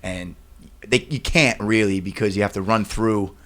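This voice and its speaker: A man speaking as a rapper guest in a casual radio interview, informal and halting, repeating phrases for emphasis. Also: lowish voice, steady tone, a bit bright.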